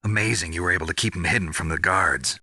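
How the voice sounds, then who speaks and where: Video game lead: hard-bitten veteran commando, low, husky, forceful, terse and deadpan. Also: male voice, quick pace, no echo, studio quality, full character voice. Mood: questioning, guarded. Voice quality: gravelly, husky. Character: gruff, world-weary veteran male special forces soldier. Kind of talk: dramatic video game voice acting.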